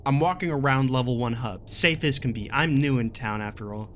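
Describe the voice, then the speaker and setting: A man playing a jaded, gruff, exasperated wanderer recording a survival warning, in a sardonic and emphatic dramatic horror reading. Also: medium pitch, a little fast, fairly bright tone, slight background noise, natural voice. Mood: wry, incredulous. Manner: sardonic, emphatic, colloquial grumbling.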